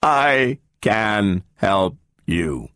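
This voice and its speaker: A booming robot that speaks in slow, flat words, as a man puts it on. Each word comes out evenly, lacking any accent. The recording is dry, with faint music under it. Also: no room sound, full character voice. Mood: flat mechanical. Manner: slow, flat, without intonation.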